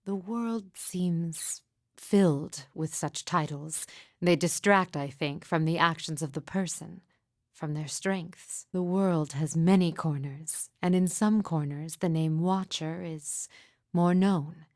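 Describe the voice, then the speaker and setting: A woman playing a grieving, mystical midwife in a fantasy game, speaking in a solemn, formal, measured way with deliberate pauses. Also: fairly low pitch, a little mellow, dry recording, studio quality. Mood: solemn, reflective. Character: grieving mother, solemn sorrowful mystic midwife with haunted calm. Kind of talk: scripted fantasy game dialogue.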